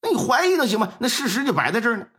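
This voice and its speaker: A man doing a high, pleading grieving father. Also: very animated, moderate pace, sharp tone, exaggerated voice. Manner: whiny, pleading, agitated. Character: pleading grieving middle-aged blacksmith father.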